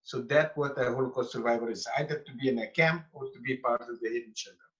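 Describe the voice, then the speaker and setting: A man of eighty, from Bukovina, telling his life story slowly and carefully as a guest on a video call. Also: mid-range voice, a bit bright. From Bukovina (Romania/Ukraine). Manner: slow, careful storytelling with pauses. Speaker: Holocaust survivor guest. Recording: dull lo-fi call audio.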